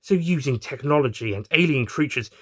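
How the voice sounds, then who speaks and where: A man's voice: mid-range voice, lively intonation, brisk, breathy edge, dry recording, studio quality. A man voicing a theatrical, wicked villain in a comic sci-fi audio story. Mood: gleeful. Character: theatrical male villain Time Lord, gleefully wicked, melodramatic.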